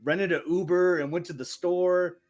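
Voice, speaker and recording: A man co-hosting a podcast, chatting casually and telling stories with enthusiasm and quick asides. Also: high voice, steady tone. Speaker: podcast co-host. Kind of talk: casual chat.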